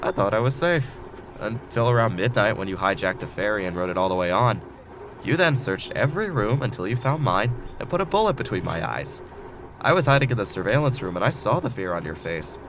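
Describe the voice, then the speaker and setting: A man's voice: lowish voice, expressive, a little fast, background noise, natural voice. A man acting in an audio drama as a wronged, resolute man who outwits his tormentor in a time loop. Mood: accusing. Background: loud music bed.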